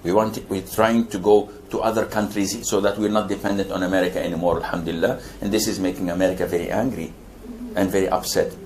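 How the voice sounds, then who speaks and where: Older Saudi man preaching, low voice. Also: steady tone, fast talker, darkish tone. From Saudi Arabia. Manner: wry jokes, emphatic rises in pitch. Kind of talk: lecture Q&A answer.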